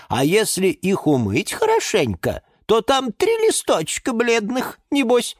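A woman's voice: fairly high pitch, dry recording, clean studio sound, pitched above the performer's own voice. A woman voicing a fussy, gossipy know-it-all female houseplant in a children's story, emphatic and dismissive.

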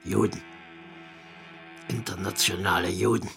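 An actor in a German radio drama playing a vengeful, domineering, stocky old Nazi patriarch with a hoarse, hateful, commanding delivery. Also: male voice, deep voice, steady tone, a little slow, over music, exaggerated voice. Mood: bitter, hateful.

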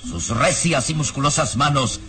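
A man's voice: mid-range voice, normal speed, over loud music. A man narrating an old radio serial in a dramatic, suspenseful, emphatic style.

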